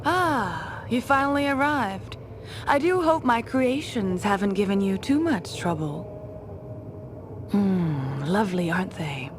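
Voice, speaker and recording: A haughty, menacing mad scientist villainess from a video game, acted by a woman. She speaks in a theatrical, condescending way at a middling pitch, with noticeable noise in the recording. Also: animated, unhurried, lightly acted. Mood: smug, menacing. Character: haughty, sadistic female mad scientist villain who creates monsters.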